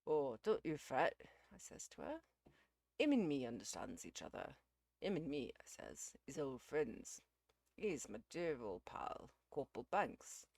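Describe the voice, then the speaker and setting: A woman's voice: low-pitched, no echo, exaggerated voice. A woman voicing a tranquil male hired hand, telling his tale colloquially and without hurry. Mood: chatty, calm. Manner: calm, unhurried, colloquial storytelling. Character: tranquil, rambling working-class English hired man, male servant.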